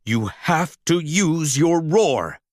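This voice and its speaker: A solemn toy tribe leader from a children's storybook, performed by a man. He instructs and encourages earnestly in a lively, raised voice. The recording is dry. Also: fairly high pitch, takes it slowly, no room sound. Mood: encouraging.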